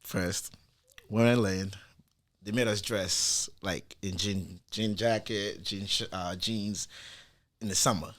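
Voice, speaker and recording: A man in his twenties with a low, deep voice chats as a podcast guest. He is from Nigeria and speaks in a laid-back, easygoing way, full of casual slang. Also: fairly even intonation, slow pace, fairly bright tone. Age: 20s.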